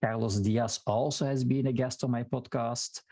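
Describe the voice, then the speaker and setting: A man from Belgium with a Flemish accent and Dutch as his first language, a podcast guest giving steady, explanatory answers with frequent restarts. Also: mid-range voice, normal speed, no room sound. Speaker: podcast guest, marketing strategist. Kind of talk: interview answers.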